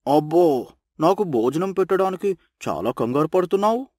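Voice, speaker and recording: A man's voice: on the high side, lively intonation, moderate pace, darkish tone. A man playing a grumbling, easily irritated husband in a cartoon story, blunt and complaining. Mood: teasing complaint.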